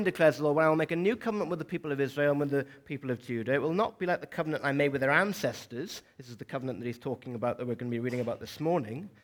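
A young man with a South Welsh accent preaching a sermon in a church, chatty, with asides to the congregation. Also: on the high side. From South Wales.

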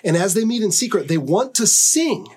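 Guy talking church stuff, kinda husky voice, gets emphatic. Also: high-pitched, sing-song, moderate pace, darkish tone. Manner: earnest, building to emphatic proclamation. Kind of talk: casual devotional discussion.

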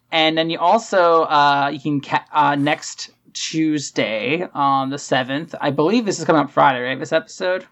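A woman's voice: low-pitched, a bit bright, dull audio. A woman co-hosting a podcast in a loose, casual, conversational way. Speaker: podcast co-host. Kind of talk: casual chat.

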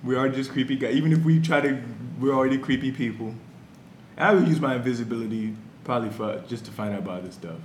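A man chatting casually and telling anecdotes in slangy, profane language, recorded in an echoey room with faint rumble. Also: quick pace.

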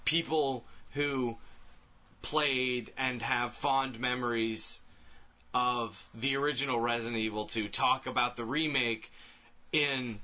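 Casting: male podcast co-host with a bright, clear-cut tone, thinking aloud in casual group conversation. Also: mid-range voice. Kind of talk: casual group chat about video games.

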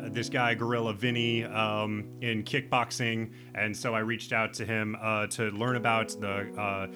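A man hosting his own podcast, talking casually with many fillers and restarts, swearing now and then. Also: on the low side. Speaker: podcast host.

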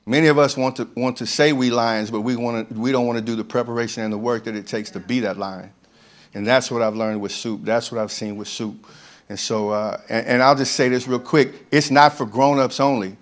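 A man's voice: mid-range voice, fairly even intonation, fairly quick. A man, a ministry leader, speaking earnestly to a church group, often stopping and restarting his sentences.

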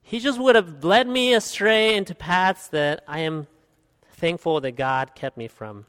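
A man in his thirties from Guatemala, preaching an expository sermon as pastor, earnest, swinging from emphatic peaks to quiet asides. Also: a little high, a little slow, clean tone. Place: church hall. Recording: long hall reverb.

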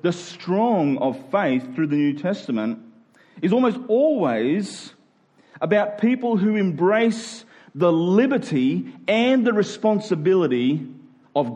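A man's voice: fairly high pitch, a little mellow. A man preaching a conversational sermon, emphatic and rhetorical, often voicing imagined objections.

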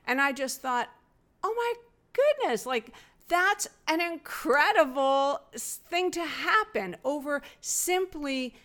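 A middle-aged woman telling personal stories in a relaxed, earnest conversational way. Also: high-pitched, takes it slowly. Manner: earnest, reflective, with fillers and laughs. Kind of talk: conversational storytelling.

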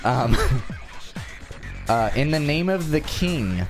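A man's voice: a little high, lively intonation, extremely slow. A man hosting a podcast, running a casual quiz and chatting with a panel. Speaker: podcast host and quizmaster. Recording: music behind the talk. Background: music.

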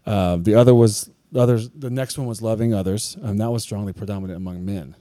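A man's voice: lowish voice, fast talker, warm tone, no room sound. A man co-hosting a church podcast, talking candidly and confessionally in casual chat, often circling back and restarting his sentences.

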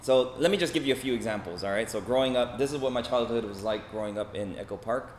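A man's voice: medium pitch, quick pace. A man in his forties with an American accent gives an informal, conversational talk to a group, sharing personal anecdotes and often checking in with short tags. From Los Angeles, United States. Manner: conversational, frequent check-in tags like "okay?" and "right?". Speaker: speaker giving a talk. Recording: roomy echo, faint background noise.

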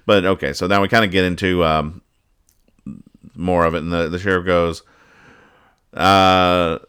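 Smooth, low-voiced man hosting casually. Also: fairly even intonation, measured pace, fairly bright tone. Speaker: podcast host. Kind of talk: casual chat, describing comic strips and explaining the jokes.

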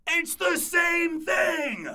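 A woman playing a defensive, penny-pinching parent, raspy and argumentative, in a comic audio drama. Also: extremely high pitch, extremely slow, shouting, full character voice. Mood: indignant. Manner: excitable, argumentative. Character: defensive, penny-pinching snack-bringing parent at a ball field. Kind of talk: audio drama comedy acting.

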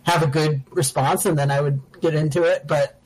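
A woman's voice: low voice, fairly even intonation, normal speed, a little mellow, husky, poor audio. An American woman in her forties, a podcast guest talking casually and laughing often between phrases. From United States. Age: 40s. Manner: chatty, laughs often mid-sentence. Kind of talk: casual chat.

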